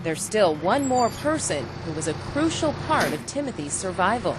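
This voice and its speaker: A woman's voice: mid-range voice, big pitch swings, normal speed, heavy background noise. A woman reading scripted documentary narration as the narrator of a local news-style segment.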